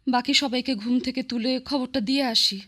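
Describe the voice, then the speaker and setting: An actress playing a gentle, anxious young granddaughter in a radio drama. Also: female voice, high voice, steady tone, brisk.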